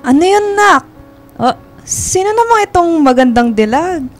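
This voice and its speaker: Very high-voiced Filipino mother, acted by a woman. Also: big pitch swings, measured pace, soft background music, full character voice. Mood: welcoming. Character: welcoming, affectionate middle-aged mother. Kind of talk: radio drama acting.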